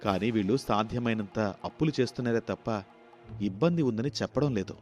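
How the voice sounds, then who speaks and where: A man's voice: fairly low pitch, a little fast, a little mellow, close to an everyday voice. Earnest grown son, voiced by a man, music behind. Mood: earnest concern. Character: dutiful grown son back from America, earnest and caring. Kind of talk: dramatized moral storytelling.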